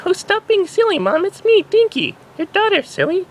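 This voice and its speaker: A man voicing an excitable, playful young unicorn filly in a squeaky falsetto for a story. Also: normal speed, heavily acted. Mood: cheerful.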